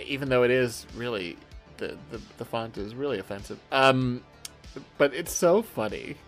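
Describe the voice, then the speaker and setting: A man's voice: mid-range voice, lively intonation, normal speed, soft background music. A male podcast co-host chatting casually, enthusiastic and rambling, swearing freely. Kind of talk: casual book-club style chat.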